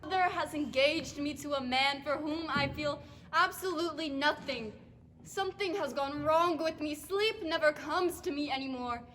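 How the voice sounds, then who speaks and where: A young woman playing a lovestruck, troubled princess on stage, dramatic, halting and yearning. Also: sits high, a bit bright, a little hiss or hum. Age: young adult. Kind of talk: high school stage drama acting. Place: theatre stage. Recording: reverberant hall, music behind.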